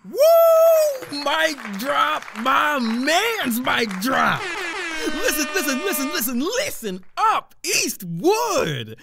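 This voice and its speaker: An actress playing a sassy, excitable woman commentator in an audio drama, slangy and theatrical with emphatic repetition. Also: sits high, extremely slow, gravelly, heavy background noise, heavily acted. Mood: hyped, amused. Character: sassy, excitable female town commentator addressing her listeners.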